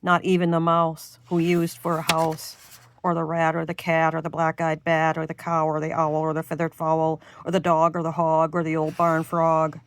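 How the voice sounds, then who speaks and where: A woman reading a rhyming children's storybook aloud in a singsong, expressive, drawn-out way. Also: deep voice, clear, resonant tone, dry recording. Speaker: storybook reader.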